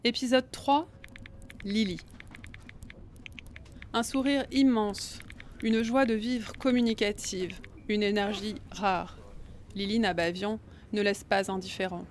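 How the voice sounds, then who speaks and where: A woman's voice: medium pitch, fairly even intonation, slow, long pauses, slight background noise. A woman reading a scripted podcast introduction as its narrator. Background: music.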